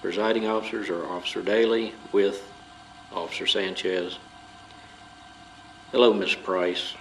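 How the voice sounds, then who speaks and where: Stern, low-voiced police interrogator, played by a man, noisy recording. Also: fairly even intonation, unhurried, a bit bright. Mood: stern, accusing. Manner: formal, procedural questioning. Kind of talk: audio drama acting. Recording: clearly noisy background.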